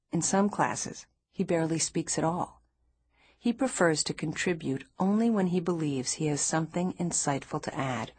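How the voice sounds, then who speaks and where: A woman narrating a nonfiction book in a measured, even reading style. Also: on the low side, slightly rough, no room sound. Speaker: audiobook narrator.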